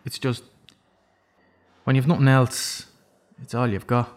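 A young man acting in an audio drama as a defensive, sardonic prisoner, awkwardly apologetic, terse and halting. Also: medium pitch, little pitch movement, lightly acted.

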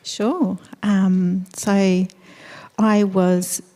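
A middle-aged woman from Eastern Europe, raised in Australia, telling her story as a missionary nurse in a church interview, earnest and thoughtful with gentle laughs. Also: mid-range voice, little pitch movement, very slow. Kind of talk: church interview, personal testimony. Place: church sanctuary.

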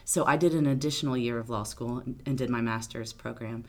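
Very low-voiced, loud talkative woman. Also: fairly quick. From Arkansas. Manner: loud, big personality, talkative. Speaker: attorney guest. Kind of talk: casual interview answers.

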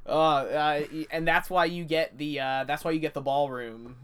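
A man's voice: on the high side, steady tone, moderate pace, fairly bright tone, clear, resonant tone. A young adult man co-hosting a podcast, nasal and congested from a cold, chatting casually and laughing often. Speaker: podcast co-host. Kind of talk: casual chat.

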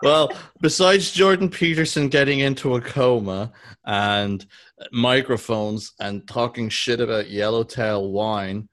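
A man's voice: medium pitch, measured pace, a bit bright. A man hosting a podcast, chatting casually and bluntly, swearing freely. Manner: swears a lot, blunt and joking. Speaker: podcast host. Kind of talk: casual chat. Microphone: podcast microphone.

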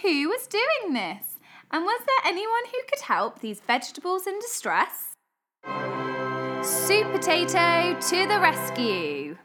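A woman reading a children's storybook as an expressive storyteller. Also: high-pitched. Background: music.

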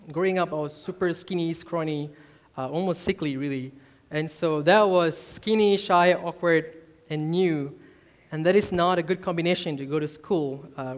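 A man in his twenties from Malaysia, a youth minister preaching a personal testimony sermon in an earnest, self-deprecating way. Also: fairly high pitch. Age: 20s.

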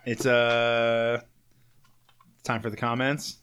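A man's voice: medium pitch, completely flat delivery, slow pace, a bit bright, slight background noise. A man co-hosting a music podcast in casual, sweary, playfully argumentative banter. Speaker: podcast co-host.

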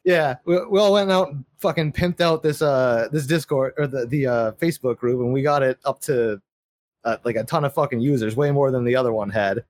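A man's voice: medium pitch, a little fast, no echo. A man in a casual podcast chat, rambling and swearing as he defends his grievances. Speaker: guest.

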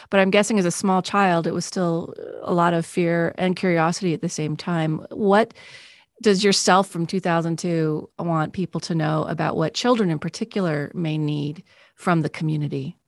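A woman interviewing guests for local news, asking clear, measured questions. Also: fairly low pitch, no echo, studio quality.

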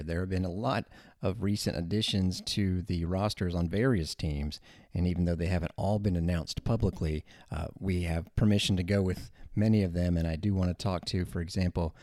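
A man's voice: low-pitched, a little mellow, dry recording, studio quality. A man hosting a college sports radio show, talking casually with informal asides. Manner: chatty, informal, rambling asides.

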